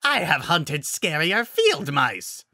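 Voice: medium pitch, big pitch swings, takes it slowly, sharp tone, no echo, studio quality, pitched above the performer's own voice, heavily acted. A narrator voicing a fierce, proud male werewolf pack alpha in a fantasy story, with a barking, menacing, blustering manner. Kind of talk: audiobook-style fantasy story character.

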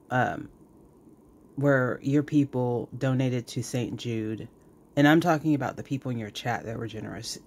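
A man's voice: mid-range voice, creaky, darkish tone. A man hosting reaction commentary, scolding emphatically and repeating words for effect. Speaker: commentary host.

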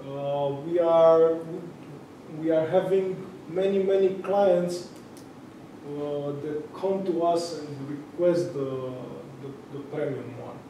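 A young man with a Romanian accent gives a meetup talk, stopping and restarting often with lots of fillers. His voice sits high and mellow, and he talks slowly. It is picked up from far off in a very echoey room. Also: slight background noise. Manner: halting, many fillers and restarts. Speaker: speaker at a tech meetup. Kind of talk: conference talk. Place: large room with audience. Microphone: far camera.

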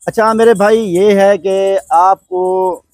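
A man's voice: sits high, fairly even intonation, takes it slowly, darkish tone, no echo, faint music behind. A man interviewing a customer on his goat farm, friendly and polite. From Pakistan. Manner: friendly, polite, repeats "my brother". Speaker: goat farm owner and host. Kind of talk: casual interview.

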